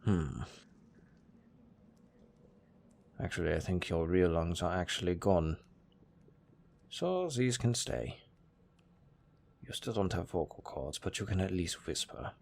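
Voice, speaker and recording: A man with a German accent playing a cold, condescending necromancer master in an audio roleplay, speaking in a deadpan, unhurried, gravelly way. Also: deep voice, heavily acted. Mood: dry, condescending. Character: cold, dryly matter-of-fact male necromancer master.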